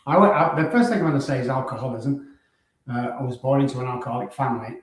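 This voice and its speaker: A middle-aged man with a Manchester accent, a guest on a live online talk show, speaking briskly in lists with frequent fillers. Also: mid-range voice, expressive. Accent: Manchester English. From Manchester, England. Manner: brisk, listing, frequent uh fillers and throat clearing. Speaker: guest, addiction doctor. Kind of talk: casual live-stream interview. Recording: very echoey room.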